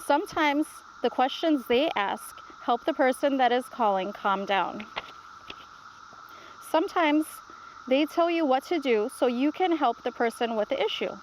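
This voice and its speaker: A patient storybook grandmother, read aloud by a woman, reassuring and instructive. Her voice sits high, with faint noise behind it. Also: lively intonation, a little slow, poor audio.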